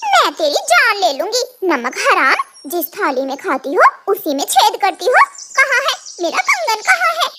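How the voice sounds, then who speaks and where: A woman's voice: extremely high pitch, wildly swooping pitch, unhurried, hardly any pauses, heavy background noise, exaggerated voice. A woman voicing an angry adult female crow in a cartoon, scolding and accusing dramatically. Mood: furious, accusing. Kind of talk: Hindi animated moral-story dubbing.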